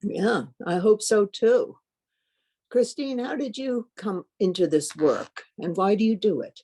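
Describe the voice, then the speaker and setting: A woman's voice: mid-range voice, measured pace, mellow, slightly rough. A woman hosting a panel conversation, introducing guests with brief questions and adding short affirmations while they speak. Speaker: host.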